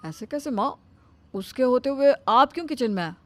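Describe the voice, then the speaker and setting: A woman voicing a flustered young husband who talks fast and placating. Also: expressive, dry recording. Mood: worried. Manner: quick, low, placating.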